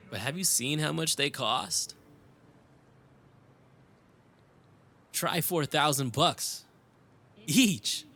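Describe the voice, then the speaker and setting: A man's voice: medium pitch, pushed and bright, a little hiss or hum. A man playing a world-weary, tender cyberpunk dreamer in love, speaking intimately and reflectively in a romantic audio roleplay. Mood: resigned, bitter. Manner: intimate, reflective, switching into Tagalog phrases.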